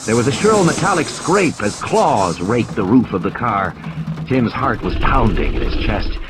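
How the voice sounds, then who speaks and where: Animated male thriller narrator over rainy effects. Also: mid-range voice, measured pace, background noise. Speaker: audiobook narrator. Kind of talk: dramatic scripted narration of a thriller. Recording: clear rain and storm effects behind. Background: rain, vehicle and ambience sound effects.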